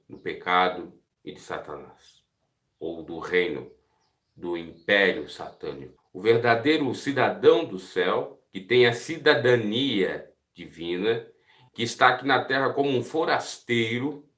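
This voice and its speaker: Earnest Brazilian preacher in an echoey room. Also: male voice, lowish voice, fairly bright tone. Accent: Brazilian Portuguese. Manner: earnest, asks listeners direct questions. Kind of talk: devotional Bible teaching.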